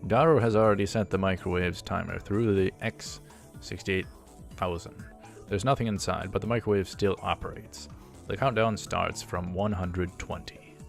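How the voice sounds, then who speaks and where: Male let's play host, low register, halting read-aloud narration with faint music underneath. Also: fairly even intonation, vocal fry. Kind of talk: reading a visual novel aloud. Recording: music behind the voice.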